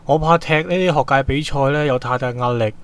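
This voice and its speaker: A man's voice: mid-range voice, brisk, a bit bright, natural voice. A man playing a polite, sincere schoolboy footballer in a radio drama, with Hong Kong Cantonese speech and a slightly hesitant manner. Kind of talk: Hobby radio drama acting. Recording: music bed under the dialogue.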